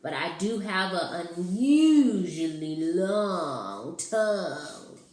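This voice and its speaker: A woman's voice: lowish voice, very animated, darkish tone, full character voice. A woman voicing a whiny scruffy boy monster. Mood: teasing. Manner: whining, slurping, drawn-out. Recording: roomy echo.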